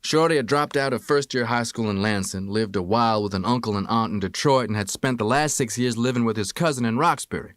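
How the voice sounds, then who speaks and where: An American man reading a first-person memoir as an audiobook narrator, with expressive, measured storytelling. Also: medium pitch, no room sound.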